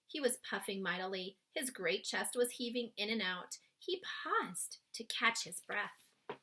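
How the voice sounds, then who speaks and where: A woman reading a children's story aloud expressively, with dramatic pauses, speaking to young listeners. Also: mid-range voice, lively intonation, pushed and bright, slight background noise.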